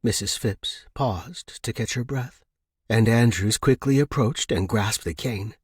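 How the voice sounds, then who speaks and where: Slow, measured male audiobook narrator. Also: fairly low pitch, slightly rough, no echo, studio quality. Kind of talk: scripted narration of suspense fiction.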